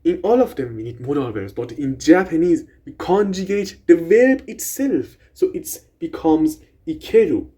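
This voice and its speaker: A young man whose first language is Persian, casually explaining grammar in an excited, rambling way with restarts and swearing. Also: fairly high pitch, moderate pace, few pauses. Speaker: language vlogger.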